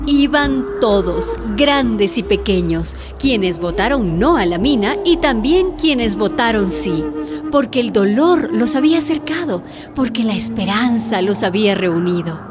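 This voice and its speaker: A woman's voice: on the high side, expressive, unhurried, loud music behind the voice. A woman narrating a radio drama in a scripted storytelling style.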